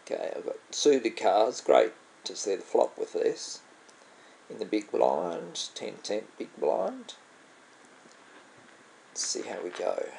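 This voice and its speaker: A man's voice: lowish voice, very animated, takes it slowly, creaky, pauses often, a little mellow, a little hiss or hum, rough recording. A man with an Australian accent, commenting casually on his own online poker game, musing aloud and often trailing off to correct himself. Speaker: vlogger playing online poker. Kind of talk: casual commentary over gameplay.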